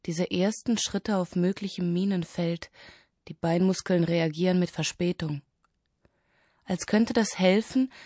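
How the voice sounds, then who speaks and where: A woman reading a first-person literary text in a measured, reflective manner. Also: fairly low pitch, warm tone, no echo, clean studio sound. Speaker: reader of literary excerpt.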